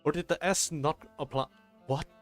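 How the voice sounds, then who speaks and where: A man's voice: a little high, steady tone, moderate pace. A man live-streaming a game with casual, bantering commentary and free swearing. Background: game music.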